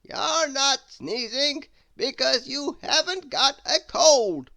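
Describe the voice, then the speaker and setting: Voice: high voice, animated, takes it slowly, creaky, pushed and bright, no echo, studio quality, voice pushed up, exaggerated voice. A storyteller voicing a wise old male wizard from a storybook, speaking in an emphatic, declaiming way. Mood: emphatic, knowing.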